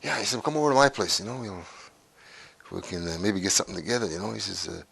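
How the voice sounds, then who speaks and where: Deep-voiced older man reminiscing. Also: gravelly, fairly bright tone, husky, no room sound. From Canada. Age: 60s. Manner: unhurried and halting, with frequent "uh" pauses. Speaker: interviewee in a documentary. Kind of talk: reminiscing life story.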